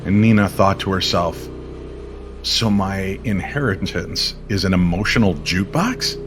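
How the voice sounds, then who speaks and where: A man telling a mysterious story in old-time radio style, expressive and measured, with weighty pauses. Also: low voice. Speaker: co-narrator of an audio story series. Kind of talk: dramatic scripted story narration in old-time radio manner. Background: music under the voice.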